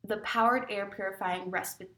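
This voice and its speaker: A woman delivering a prepared public statement as a union spokesperson, formal and deliberate, now and then stumbling over a word. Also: mid-range voice, fairly even intonation, a bit bright. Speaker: industrial hygienist and nurses' union spokesperson. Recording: echoey room sound.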